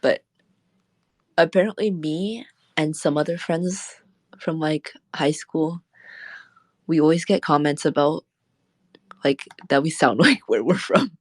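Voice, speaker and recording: Woman streamer, low register, relaxed rambling chat with frequent laughs, dry booth sound. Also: a little slow, pauses often, no room sound. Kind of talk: casual late-night stream chat.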